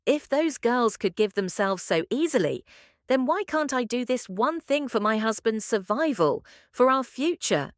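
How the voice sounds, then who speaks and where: Storybook devoted wife, pleading and anguished, acted by a woman narrator; animated pitch, dry sound. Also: a little slow, no room sound. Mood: desperate resolve. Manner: pleading, earnest. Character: devoted young wife, anguished, self-sacrificing.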